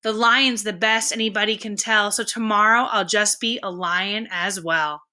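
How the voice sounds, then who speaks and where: Woman reading as an eager, bright-voiced boastful emu. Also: mid-range voice, steady tone, moderate pace. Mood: determined. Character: eager, boastful male emu in a children's storybook.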